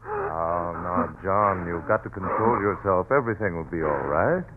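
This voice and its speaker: A composed family doctor who speaks in a low, reassuring tone. His voice is lively in pitch, in measured old-time radio delivery. The old broadcast sound is thin, with faint background hiss. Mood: soothing. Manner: steady and reassuring. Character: calm, soothing family doctor. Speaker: actor in radio drama. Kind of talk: 1940s radio acting. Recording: tinny old radio recording.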